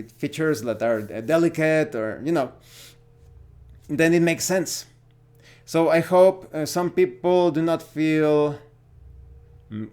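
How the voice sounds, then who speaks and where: A man's voice: fairly high pitch, darkish tone. A man, a Spanish speaker, giving an unscripted opinion monologue as host of a gaming channel, often searching for words. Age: 40s.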